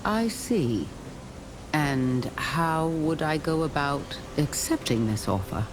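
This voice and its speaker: Low-voiced resolute hero. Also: female voice, animated, noisy background. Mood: resolute, calm. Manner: measured, serious. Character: composed, resolute female wandering hero adventurer. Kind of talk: video game voice acting. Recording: loud music behind.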